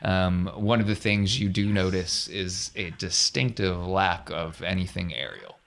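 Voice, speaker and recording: A man's voice: low-pitched, animated, measured pace, vocal fry, fairly bright tone. A man running a tabletop space adventure as game master, narrating in an easygoing, descriptive way with conversational asides.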